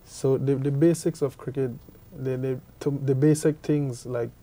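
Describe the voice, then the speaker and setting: Halting young cricketer from Guyana. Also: male voice, mid-range voice, steady tone, dark tone. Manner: halting, repeats words often. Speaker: cricketer guest. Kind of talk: interview answers.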